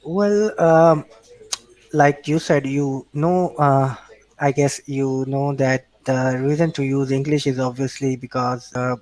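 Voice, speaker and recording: A man's voice: medium pitch, fairly even intonation, slow pace, a little mellow, no echo, low-quality recording. A man from Pakistan with a Pakistani accent, a native Urdu speaker, answering interview questions in a hesitant way with many restarts and fillers. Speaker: interview guest. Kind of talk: casual remote interview.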